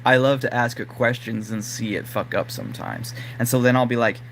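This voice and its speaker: A man whose voice is going hoarse, talking casually and rambling to his live-stream audience with plenty of fillers. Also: mid-range voice, a little fast, a bit bright, slight background noise. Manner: rambling, lots of fillers like "like" and "you know". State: losing his voice, throat-clearing. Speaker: livestream host.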